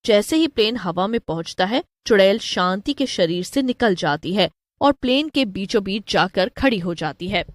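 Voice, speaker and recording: A woman's voice: mid-range voice, animated, moderate pace, few pauses, no room sound, clean studio sound. A woman narrator giving a scripted narration of a cartoon story.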